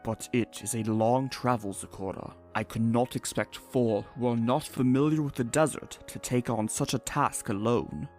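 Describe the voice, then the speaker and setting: A man voicing a regal, courteous zebra prince with formal, measured phrasing, in a fan comic dub. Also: mid-range voice, lightly acted. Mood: grave, courteous. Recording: music under the voice.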